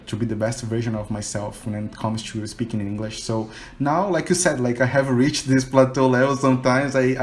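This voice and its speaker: A man's voice: mid-range voice, a little hiss or hum. A man from Brazil with a Brazilian Portuguese accent, a Portuguese native speaker, chatting casually in English on a video call and often saying "you know". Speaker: English teacher and learner. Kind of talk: casual conversation practice call.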